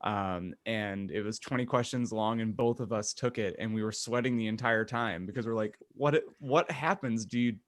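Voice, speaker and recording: A man co-hosting a podcast in casual comedic chat, talking in a jokey, halting way. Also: lowish voice, dry recording. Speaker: podcast co-host.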